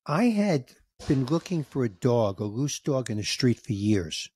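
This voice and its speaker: A man in his sixties hosting a podcast, telling a story in a casual, animated way and acting out the dialogue, with some emotion. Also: medium pitch, a little slow, slightly rough. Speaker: podcast host, comedian. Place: home room with record shelves. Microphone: studio mic on boom, headphones, video call.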